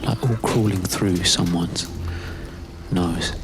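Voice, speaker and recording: A British man giving an intimate spoken-word narration in a hesitant, musing way, in fragmented phrases with pauses. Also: low voice. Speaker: writer-performer narrating his own piece.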